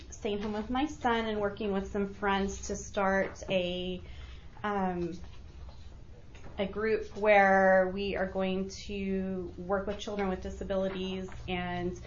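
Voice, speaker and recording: A woman's voice: fairly low pitch, slight background noise. Hesitant woman at a meeting. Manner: hesitant, many fillers. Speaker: commission applicant. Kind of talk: self-introduction at a public meeting. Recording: dull lo-fi meeting recording.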